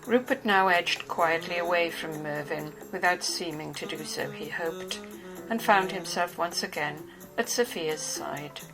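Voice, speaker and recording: A woman's voice: fairly low pitch, unhurried, over music, low-quality recording. A woman narrating a novel in a scripted, literary reading style.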